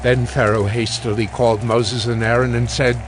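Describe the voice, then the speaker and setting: A man narrating a scripted audiobook as a measured storyteller. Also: mid-range voice, level tone, background noise.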